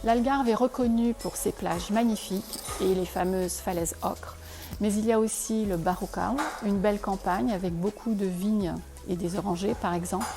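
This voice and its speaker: A woman whose native language is Portuguese gives a measured, articulate scripted presentation as a real estate advisor. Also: medium pitch, steady tone. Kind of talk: scripted promotional presentation to camera. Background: faint music.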